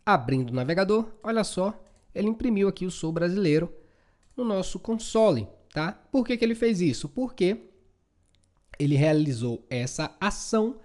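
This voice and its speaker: A man's voice: sits high, big pitch swings, moderate pace, darkish tone. A Brazilian man giving an informal, chatty coding tutorial, often checking in with short tag questions. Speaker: programming instructor.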